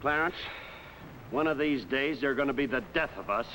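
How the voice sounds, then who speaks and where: An actor playing an excitable, wisecracking American soldier in a 1950s slapstick war comedy film. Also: male voice, medium pitch, normal speed, a little hiss or hum, natural voice, low-quality recording. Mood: weary. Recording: old 1950s film soundtrack, loud music.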